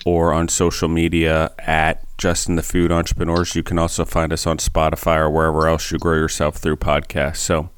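Deep, smooth-voiced male podcast host. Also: normal speed, hardly any pauses, no echo. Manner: steady, friendly, scripted-sounding intro. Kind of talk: podcast interviewing.

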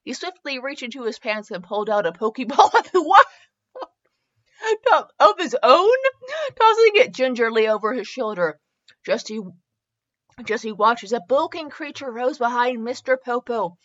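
Giggly high-voiced woman reading fan fiction. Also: very animated, normal speed, a bit bright. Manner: giggly, stumbles over words and breaks off to comment. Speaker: podcast host. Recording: slightly dull, lo-fi recording.

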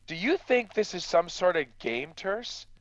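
An actor playing a stern, practical farmer in a radio drama, blunt and admonishing but fair-minded. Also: male voice, high voice, sing-song, a little slow, dull audio. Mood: stern, dismissive. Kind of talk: true crime radio drama acting.

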